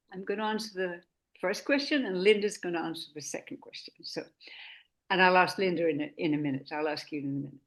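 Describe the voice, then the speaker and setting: Slow, deliberate woman hosting a chat. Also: medium pitch. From Sweden. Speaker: host. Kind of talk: casual talk.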